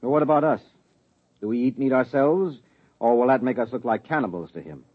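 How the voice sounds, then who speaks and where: A man's voice: mid-range voice, a little fast, natural voice. An actor playing a grudging, sarcastic policeman husband in 1950s radio drama style. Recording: dull 1950s radio broadcast.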